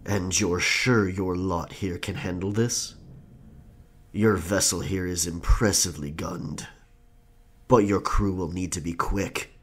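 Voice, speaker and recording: Slow, husky, vengeful captain. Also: male voice, low-pitched, fairly even intonation, exaggerated voice. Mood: grim, wary. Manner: slow, theatrical, archaic seafaring speech. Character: vengeful, grief-stricken old pirate captain, widowed father. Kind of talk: dramatic pirate roleplay audio.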